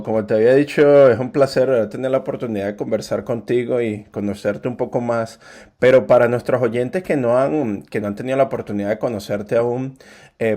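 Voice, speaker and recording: A man's voice: mid-range voice, warm tone. A man hosting an interview podcast, reading a scripted intro and then asking eager, friendly questions that often restart. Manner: eager, friendly questioning, repeats words when starting questions. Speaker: podcast host.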